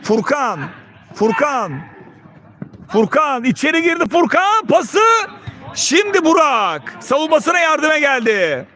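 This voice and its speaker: A man's voice: extremely high pitch, sing-song, takes it slowly, sharp tone. A man doing live play-by-play football commentary in clipped phrases, calling out player names. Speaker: match commentator.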